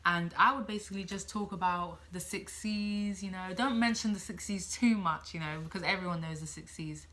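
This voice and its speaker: A woman's voice: fairly low pitch, moderate pace, hardly any pauses, fairly bright tone, slight background noise. A woman in her late teens talking casually to camera as a student midwife giving advice, chatty, thinking aloud and repeating herself.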